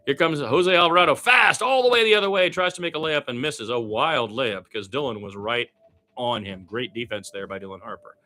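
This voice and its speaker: A man giving live basketball commentary as an amateur radio announcer, animated on the plays and wry and musing in his casual, rambling asides. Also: medium pitch, a little fast.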